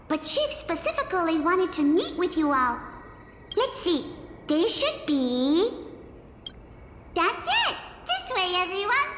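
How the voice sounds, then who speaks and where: Very high squeaky excitable tiny fairy. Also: female voice, slight background noise, exaggerated voice. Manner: exclamatory, cutesy, theatrical. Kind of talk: fantasy video game voice acting. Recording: echoey, long reverb, music behind.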